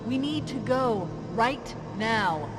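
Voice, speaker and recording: An actress playing a sharp-tongued female AI in a sci-fi video game, sardonic, protective and emphatic in delivery. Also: a little high, animated, slow. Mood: urgent. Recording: long echoing reverb under music.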